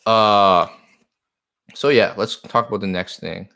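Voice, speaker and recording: A man's voice: lowish voice, fairly even intonation, takes it slowly, creaky, sharp tone, clear, resonant tone. A man whose first language is Chinese, owner of a card shop, talking earnestly on a live stream and answering viewer comments in a rambling way, often repeating himself.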